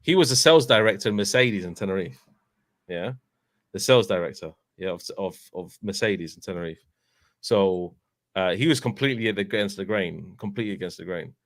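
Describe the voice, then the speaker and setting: A man in his thirties with a London accent, chatting casually as a fan channel host, telling animated stories with lots of filler tags. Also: sits low, a little fast, no room sound, clean studio sound. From England. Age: 30s. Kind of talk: casual chat.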